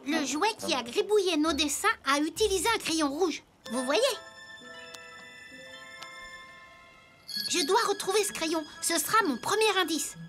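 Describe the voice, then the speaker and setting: Super high little toy boy detective, keen and earnest, cartoon music behind. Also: expressive, moderate pace. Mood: curious, determined. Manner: bright, inquisitive, earnest. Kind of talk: children's cartoon dubbing.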